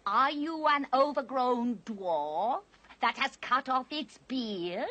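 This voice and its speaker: A woman's voice: high voice, animated, pushed and bright, full character voice. Haughty, piercing witch queen. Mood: cold, menacing. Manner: grand, slow, archly formal speech. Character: haughty, imperious witch queen, menacing and theatrical. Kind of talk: animated fantasy film acting.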